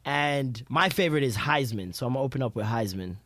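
A man's voice: mid-range voice, expressive, a little fast, a bit bright, no echo. A man co-hosting a podcast, chatting casually and jokingly with slang and swearing. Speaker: podcast co-host.

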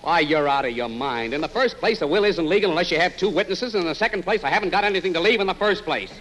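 An excitable, indignant American husband in old radio comedy. His highish voice swings widely as he gripes and protests, through the clear noise of a vintage recording. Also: fast. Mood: exasperated protest. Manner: exasperated, grumbling, indignant. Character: cranky, excitable, stubborn husband griping at his wife. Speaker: actor in a radio comedy sketch.

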